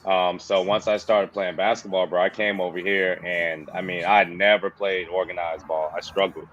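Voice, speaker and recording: A deep-voiced man in his thirties with a softened Bahamian accent talks loosely, peppering his stories with "bro" and "you know". A faint hiss of background noise sits under the recording. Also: flat delivery, moderate pace, highs cut off. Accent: Bahamian, toned down. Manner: casual, slangy, says "bro" and "you know". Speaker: former player, now coach. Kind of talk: relaxed interview storytelling.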